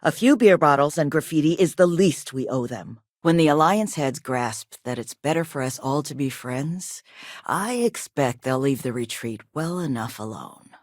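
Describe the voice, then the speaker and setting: A woman acting as a poised, quietly assertive company chief executive in a video game, polished, measured and courteous but firm. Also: low-pitched, expressive, no echo, studio quality. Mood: composed, businesslike.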